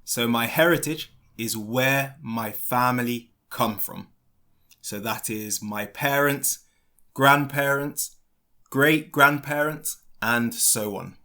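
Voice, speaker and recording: A man with a London British English accent leads a podcast lesson. He talks at an unhurried, very slow pace and keeps his phrasing plain and deliberate so learners can follow. His voice sits at a middle pitch for a man. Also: pushed and bright. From London, England. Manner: clear, deliberate delivery with simple phrasing. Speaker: English teacher and podcast host.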